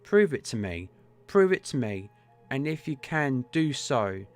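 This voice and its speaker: A man's voice: medium pitch, big pitch swings, a little slow, soft background music, pitched above the performer's own voice. A man voicing a desperate Victorian woman. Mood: defiant. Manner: pleading, indignant outbursts.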